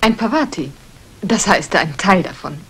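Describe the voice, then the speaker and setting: A woman's voice: fairly low pitch, expressive, normal speed, fairly bright tone, husky, full character voice. An actress playing a poised aristocratic lady, composed and cultivated, in a 1960s German radio drama. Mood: gracious. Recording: faintly noisy old radio recording.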